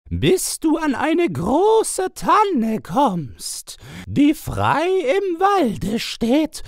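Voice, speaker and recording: Man as a high-voiced, slow, sly old witch. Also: fairly bright tone, no room sound, clean studio sound, full character voice.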